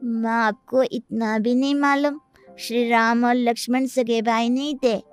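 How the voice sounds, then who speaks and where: A woman plays a loyal little boy in a cartoon tale. He sounds innocent and earnest, a high childlike voice over faint music. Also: fairly even intonation, a little slow, clean tone, natural voice. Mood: protective.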